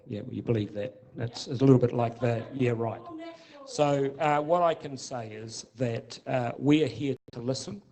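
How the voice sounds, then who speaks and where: A man's voice: medium pitch, a little mellow. A man, an official answering residents at a public meeting in a defensive, curt manner.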